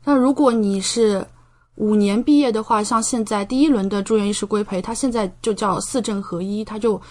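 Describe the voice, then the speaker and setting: A young woman speaks as a guest in a conversational interview. Her voice sits at a middle pitch, and she lays out explanations in an informal, step-by-step way. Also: a little fast, a little mellow. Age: young adult. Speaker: podcast guest, doctor.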